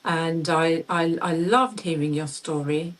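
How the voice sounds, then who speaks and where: A woman in her forties who speaks English as a second language, hosting a personal conversation and talking haltingly, searching for words. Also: deep voice, fairly even intonation, distant mic. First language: not English. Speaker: podcast host.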